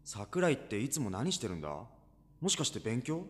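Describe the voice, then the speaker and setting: A man plays a boyish high school club member in an audio drama. He talks casually and bluntly, with a self-deprecating streak, his pitch swinging freely over a middle register. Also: sing-song, fairly quick, natural voice. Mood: friendly. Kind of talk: Japanese audio drama acting.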